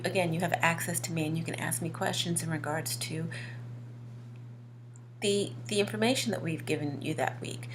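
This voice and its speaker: A woman instructor with a slightly hoarse voice gives an informal promotional talk, conversational and self-correcting, repeating words for emphasis. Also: on the low side, slight background noise, poor audio. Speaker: fashion course instructor.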